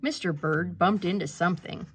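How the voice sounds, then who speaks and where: A woman reading a children's picture book aloud in an expressive, animated way, with asides to young listeners. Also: lowish voice, normal speed, a little hiss or hum. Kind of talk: expressive read-aloud narration.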